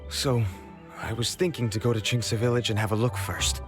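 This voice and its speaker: A man's voice: fairly low pitch, fairly even intonation, moderate pace, music in the background, close to an everyday voice. An actor playing a flustered, earnest and deferential male official in a video game cutscene. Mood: anxious. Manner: earnest, fretful, explanatory.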